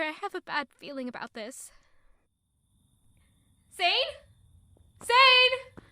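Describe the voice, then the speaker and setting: A woman acting a sweet, high-born young woman in an audio drama, earnest and expressive, anxious and easily indignant. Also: heavily acted.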